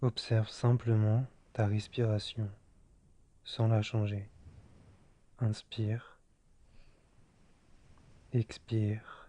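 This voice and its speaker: Male guided-relaxation voice: very mellow and dark, very slow, soothing, with long pauses between short instructions. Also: fairly low pitch, flat delivery. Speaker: meditation guide. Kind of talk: guided yoga nidra relaxation.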